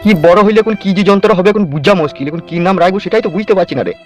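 A man's voice: sits high, rapid-fire, soft background music, close to an everyday voice. A man voicing an exasperated, crude village politician father in a comedy cartoon, blustering and grumbling.

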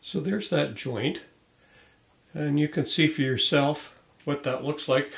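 A man's voice: medium pitch, vocal fry. A middle-aged man giving informal hands-on woodworking commentary, thinking aloud in a hesitant way as he works. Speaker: woodworking video host. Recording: roomy workshop sound, light background noise.

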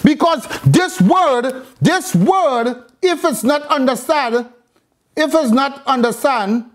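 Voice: sits high, animated, slow pace. A preacher delivering an impassioned, exhorting sermon, repeating phrases for emphasis.

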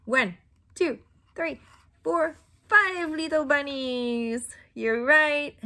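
A middle-aged woman reading a picture book aloud to young children in an exaggerated, interactive way, with questions and pauses. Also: high voice, bright, forceful tone. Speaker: children's storybook reader. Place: small indoor room at home.

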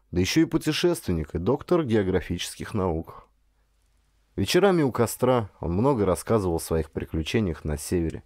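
A man reading a first-person story as an audiobook narrator, in a dramatic, expressive way with varied intonation. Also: lowish voice, no echo, clean studio sound.

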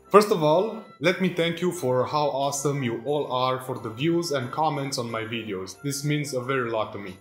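A man's voice: medium pitch, normal speed. A man in his thirties from Romania with a Romanian accent, chatting casually to camera in a vlog and laughing often at his own asides, recorded on a phone in a workshop. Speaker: vlogger. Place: indoor workshop with tools and shelves. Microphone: phone camera mic. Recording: echoey room on a phone mic, faint music.

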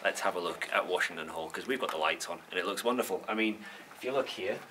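A man in his thirties giving a casual, mock-earnest tour to camera in a deadpan manner. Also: low-pitched, fast talker. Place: indoors in an old hall. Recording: clearly noisy room ambience.

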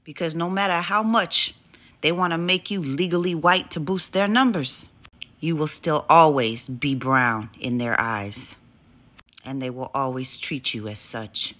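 Woman, emphatic, scolding, bright tense voice, monologue. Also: extremely low pitch. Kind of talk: impassioned monologue to camera.

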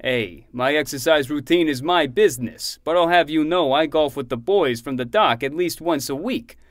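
An actor playing a retired, fussy but affectionate older uncle in a fiction podcast. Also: male voice, fairly high pitch, moderate pace, dry recording, clean studio sound, lightly acted. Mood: defensive.

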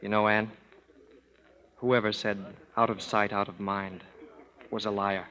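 An actor playing a kind, generous gentleman musician, easygoing and gentlemanly, in 1930s radio drama style. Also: lowish voice, flat delivery, measured pace. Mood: friendly. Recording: muffled 1930s radio broadcast.

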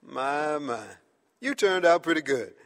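A man's voice: fairly high pitch, expressive, a little slow, fairly bright tone, long reverb tail. An actor playing a folksy, plainspoken middle-aged father in a radio drama. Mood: proud.

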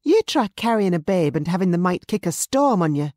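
Narrator putting on a sharp-tongued pregnant noblewoman. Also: on the high side, sing-song, normal speed, no echo, studio quality, pitched above the performer's own voice. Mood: defiant. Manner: defiant, snappish.

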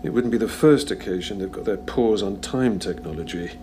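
An actor playing a wry, reflective time-travelling hero in an audio drama, speaking conversationally and articulately. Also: male voice, on the low side, fairly quick, dark tone, a little hiss or hum, lightly acted. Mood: explanatory.

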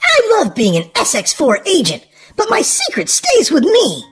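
A woman hosting a children's read-aloud, narrating in an exaggerated, sing-song, expressive way for small children. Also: sits high, moderate pace, soft background music. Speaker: host and reader of a children's read-aloud show. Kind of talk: animated children's storybook narration.

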